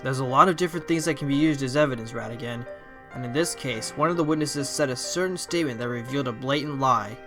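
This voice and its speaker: A man playing an earnest, confident fox defence lawyer in an audio drama, reasoning aloud deliberately. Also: medium pitch, close to an everyday voice. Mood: confident, assertive. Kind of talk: audio drama courtroom acting. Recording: prominent music under the voice.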